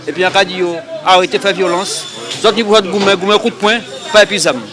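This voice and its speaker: A man's voice: high-pitched, steady tone, fast. A man from the French Antilles, a customer chatting casually in a vox pop. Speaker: customer interviewed. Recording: busy crowd behind.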